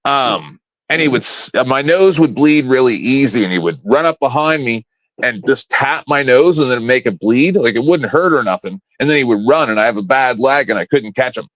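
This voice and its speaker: A man's voice: medium pitch, lively intonation, moderate pace, fairly bright tone, dry recording. A man in his fifties, a panel guest on a live stream, ranting in a blunt, profane, confrontational way from home. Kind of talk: casual live-stream rant. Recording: dull, lo-fi, dry stream audio.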